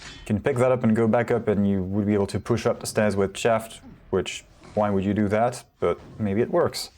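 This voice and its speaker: Low-voiced relaxed game caster man. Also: moderate pace, faint music behind. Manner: relaxed, chatty, self-correcting. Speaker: esports caster. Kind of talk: solo play-by-play commentary.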